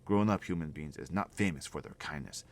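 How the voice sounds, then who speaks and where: A man voicing a kindly, mournful giant who talks in gentle, muddled grammar. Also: extremely low pitch, a little fast.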